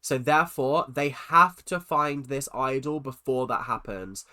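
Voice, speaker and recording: Man, host of a reaction vlog, nasal and stuffed up with a cold, crisp bright tone, excitable chatter. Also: mid-range voice, unhurried. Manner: excitable, chatty, swings between loud exclamations and muttered asides. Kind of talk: casual reaction commentary.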